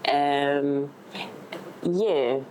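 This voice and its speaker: Woman, lower pitch, playful casual chat, background noise. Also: steady tone, extremely slow, a little mellow. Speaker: actress in a discussion.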